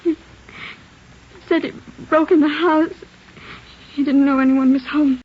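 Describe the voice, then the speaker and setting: An actress playing a killer's weary, devoted wife in a vintage radio crime drama. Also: female voice, high-pitched, fairly even intonation, a little slow. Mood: weary, confessing. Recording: muffled, noisy old radio broadcast.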